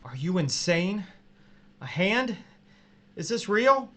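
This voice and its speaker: A man playing a guilty, frightened coworker in an audio drama, speaking in a halting, emotional way. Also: high-pitched, very animated, bright, forceful tone, a little hiss or hum, exaggerated voice. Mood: horrified. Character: guilty, frightened adulterous coworker, pleading then outraged.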